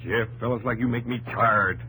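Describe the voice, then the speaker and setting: An American actor playing an earnest, determined farm inventor who argues insistently, in a 1950s radio drama. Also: male voice, mid-range voice, steady tone, normal speed, pushed and bright, lightly acted. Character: eager, determined young farm inventor defending his machine. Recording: noisy, humming old radio recording.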